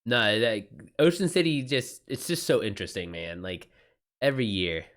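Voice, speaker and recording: A man's voice: on the low side, animated, normal speed, fairly bright tone. A man with an American accent chatting informally on a livestream as host, using slang and reacting aloud to viewer comments. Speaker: livestream host and self-published author. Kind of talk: casual livestream chat, answering viewer comments.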